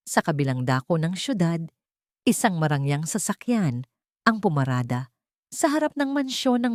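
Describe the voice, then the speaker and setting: A woman with a Filipino accent narrating a dramatic first-person story in measured, solemn phrases. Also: deep voice, darkish tone, no echo, clean studio sound. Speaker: audiobook narrator.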